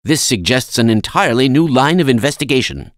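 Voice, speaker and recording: A man's voice: medium pitch, animated, a little fast, dry recording, close to an everyday voice. Guy doing a fussy absent-minded old scientist for a video game, voice swings all over, close dry sound. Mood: earnest, genial. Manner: fussy, precise, old-fashioned exclamations. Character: kindly, absent-minded older male scientist, excitable and fussy. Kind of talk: video game character acting.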